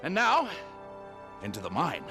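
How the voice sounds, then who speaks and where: A man's voice: a little high, wildly swooping pitch, unhurried, exaggerated voice. A man playing a spirited, knowledgeable scientist leading an expedition in a video game cutscene, eager and explanatory. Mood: confident. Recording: music behind the voice.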